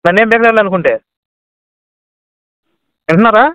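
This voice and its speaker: A man in a heated phone argument, aggressive, abusive and quick to interrupt, on a lo-fi call recording. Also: high voice, fairly bright tone, smooth, no room sound. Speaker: caller in a heated phone debate.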